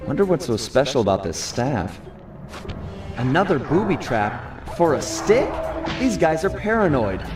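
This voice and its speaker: An actor playing a cocky, easygoing young raccoon thief in a cartoon video game, casual and wryly confident. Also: male voice, a little high, very animated, a little slow, roomy sound, distant mic, music in the background. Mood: wry musing. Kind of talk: game character monologue.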